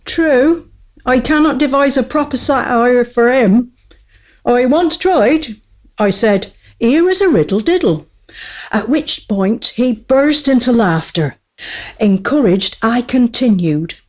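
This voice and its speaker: A woman acts a small court jester in a radio play, irreverent and witty. The voice sits fairly high and moves slowly, with measured, wry storytelling and mock-courtly formality. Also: darkish tone. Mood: wry, amused.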